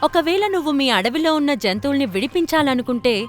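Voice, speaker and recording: A wise magical blue vulture in a children's cartoon. The voice is fairly high, guiding and cautioning. Soft music runs underneath. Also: female voice, expressive, fast. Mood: solemn, instructing.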